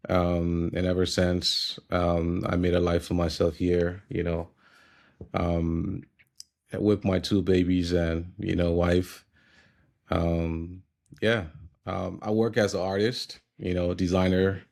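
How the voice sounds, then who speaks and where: A man in his thirties from Liberia, guest on an interview, talking in a relaxed, reflective way with many pauses and fillers. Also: deep voice, vocal fry. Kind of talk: casual conversational interview.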